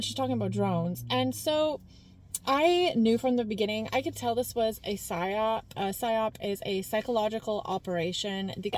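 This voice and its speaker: Woman vlogger, high natural voice, scattered casual delivery. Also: slight background noise, low-quality recording. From Canada. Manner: rambling, jumps between points with many fillers. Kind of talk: casual talking-head commentary.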